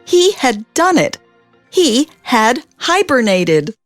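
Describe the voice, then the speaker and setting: Slow, very lively woman reading a storybook. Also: on the high side, no room sound, faint music behind. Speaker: children's storybook reader. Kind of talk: expressive read-aloud narration for young children.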